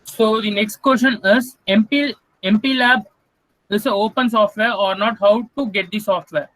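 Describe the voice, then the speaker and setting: A man's voice: high voice, moderate pace, a bit bright, no echo, low-quality recording. A young man with an Indian English accent coordinating a webinar Q&A over a video call, polite, saying "sir" often and stumbling over words. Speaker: student event coordinator. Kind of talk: hosting a webinar Q&A.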